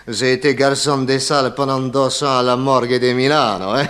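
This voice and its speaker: An actor playing a chatty, excitable Italian manservant with an Italian accent in a 1960s French radio detective comedy. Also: male voice, mid-range voice, moderate pace, lightly acted. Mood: chatty, dramatic. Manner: animated, theatrical, chuckling.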